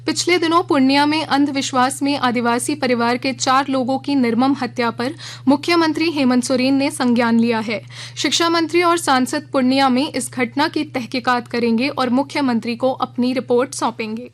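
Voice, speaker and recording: A woman reading a regional radio news bulletin in a measured, formal way from a studio. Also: sits high. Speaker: news reader.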